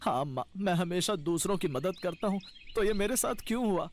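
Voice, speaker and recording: An actor playing a kind-hearted, troubled young farmer husband in a cartoon story, earnest and plaintive. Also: male voice, high-pitched, expressive, brisk, a little hiss or hum. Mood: puzzled. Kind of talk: Hindi cartoon story acting.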